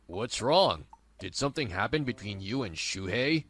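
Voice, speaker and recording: A woman's voice: a bass voice, animated, moderate pace, husky, heavily acted. A woman playing a kindly, helpful older father in a manga dub.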